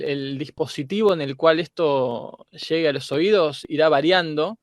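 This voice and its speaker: A man's voice: on the high side, no echo. Reflective man, dry close sound. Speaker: guest panelist, teacher. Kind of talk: reflective conversation.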